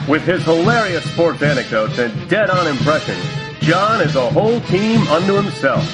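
Male promo announcer, polished delivery, slightly high voice, music bed underneath. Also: sing-song, normal speed, rough recording. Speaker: promo narrator. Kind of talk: scripted promo voice-over.

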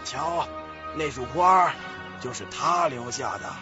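An actor playing an old male cemetery keeper with a slow, questioning manner in a radio drama. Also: mid-range voice, animated. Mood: curious, matter-of-fact. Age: older. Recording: dull lo-fi audio, music behind.

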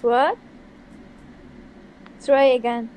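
A young woman from Turkey with a Turkish accent, speaking halting, broken English in a casual video chat. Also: high voice, fairly even intonation, darkish tone, smooth. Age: young adult. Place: small bedroom. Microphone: phone front camera.

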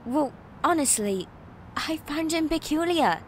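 A woman's voice: high-pitched, animated, measured pace, a little hiss or hum. An actress playing an eager, nervous young female reporter in an audio drama, chatty and hesitant. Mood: earnest. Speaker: voice actor.

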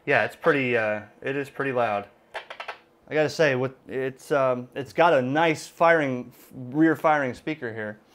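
A middle-aged man with an American accent and a mid-range voice talks through a device in an informal, unscripted way. He explains step by step, often halting to think aloud. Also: medium pitch, a bit bright, a little hiss or hum. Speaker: ham radio gadget reviewer. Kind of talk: casual unscripted product demonstration. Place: indoors at a desk in a room.

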